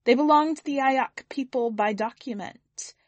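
A woman voicing a towering, owl-like alien envoy who speaks in a calm, formal, measured way. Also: high-pitched, dry recording, pitched above the performer's own voice. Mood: firm.

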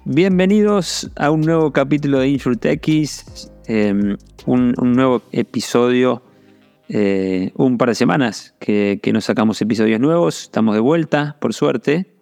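A man hosting a casual podcast interview, speaking in a somewhat halting, hesitant way. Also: medium pitch, gravelly, a little mellow, faint music behind.

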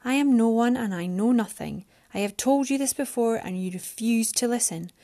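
A woman's voice: on the high side, normal speed, a little mellow, lightly acted. A woman voicing a defiant, frightened young woman who speaks with rising heat.

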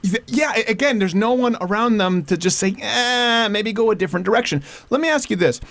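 A man from Canada hosting a comedic talk show, joking and animated with quick asides. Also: sits high, vocal fry. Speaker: podcast host.

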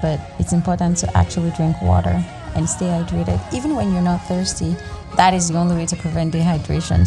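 Slightly raspy woman hosting a podcast. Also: lowish voice. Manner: earnest, encouraging, many fillers. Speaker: podcast host. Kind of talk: solo reflective podcast talk.

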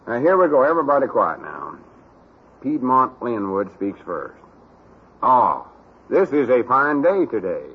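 Self-important country man, Southern US accent, bossy, officious, very animated pitch, husky, old radio comedy, muffled recording. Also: unhurried, full character voice. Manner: exasperated, officious, stiffly pompous when reading.

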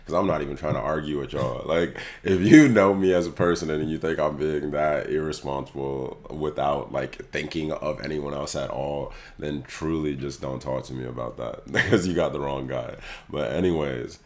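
A man hosting a casual podcast chat, hoarse from losing his voice, laughing often and talking loosely. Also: very deep voice, moderate pace, hardly any pauses, a little mellow. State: hoarse, lost voice. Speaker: podcast host.

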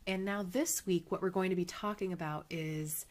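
A woman's voice: on the low side, fairly even intonation, normal speed. A woman hosting her own podcast, talking in a casual, upbeat and encouraging way with a slightly nasal voice. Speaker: podcast host. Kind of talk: casual motivational monologue.

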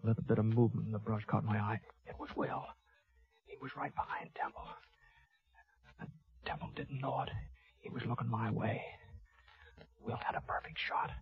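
Folksy Old West gunfighter, dull old radio. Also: male voice, lowish voice, level tone, normal speed, gravelly, long pauses. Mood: tense, measured. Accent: American. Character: laconic, folksy, fair-minded Old West gunfighter narrating his tale. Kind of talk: 1950s radio western acting, first-person narration. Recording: dull lo-fi vintage 1950s radio recording.

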